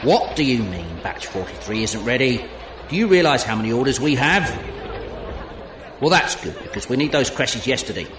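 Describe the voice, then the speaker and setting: A man plays a harried factory director in a science fiction audio drama. He is curt and bossy, with a medium-pitched voice, in an echoey space with clear background noise. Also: very animated, normal speed, close to an everyday voice. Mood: impatient, brusque.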